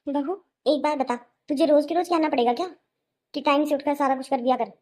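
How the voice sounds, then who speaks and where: A woman's voice: high voice, fairly even intonation, fairly quick, a little mellow, close to an everyday voice. A woman voicing a nagging, sarcastic middle-aged mother-in-law in a melodramatic cartoon. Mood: scolding, irritated. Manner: sarcastic, accusing, hectoring. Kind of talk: melodramatic Hindi cartoon voice acting.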